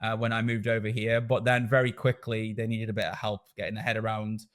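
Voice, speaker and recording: A man's voice: fairly low pitch, little pitch movement, a little fast, fairly bright tone. A man from Stoke in England with a Stoke accent, a guest in a casual interview, talking in a rambling, self-correcting way. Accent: Stoke-on-Trent English. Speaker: podcast guest, school director.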